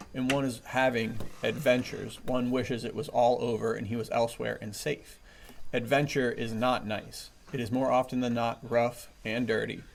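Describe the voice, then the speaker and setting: A man's voice: mid-range voice, gravelly. A man hosting a podcast in casual, chummy chat, enthusiastic and often chuckling. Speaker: podcast host. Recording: faint background noise.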